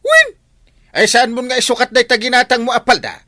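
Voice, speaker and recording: Actor as high-pitched, exasperated Ilocano village captain. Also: male voice, wildly swooping pitch, a little fast, sharp tone, dry recording, clean studio sound, heavily acted. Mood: agitated. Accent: Ilocano Filipino. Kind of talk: Ilocano village comedy drama acting.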